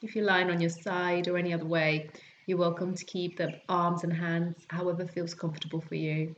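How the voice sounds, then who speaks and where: A woman teaching yoga nidra, guiding a relaxation meditation in a gentle, soothing, unhurried way with long pauses. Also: fairly low pitch, highs cut off, a little hiss or hum. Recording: birdsong and forest sounds behind voice. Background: calming forest nature sounds with birds.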